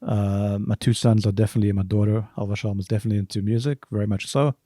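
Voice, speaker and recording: A middle-aged man with a softened South African accent, answering interview questions casually in measured, halting phrases. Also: lowish voice, steady tone, no echo, studio quality. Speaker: podcast guest, music arranger.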